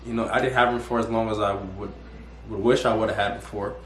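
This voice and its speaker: A man's voice: fairly low pitch, steady tone, quick pace, a little hiss or hum. Rambling young man hosting. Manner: rambling, restarts and repeats words. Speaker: host. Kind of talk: casual interview. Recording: echoey room.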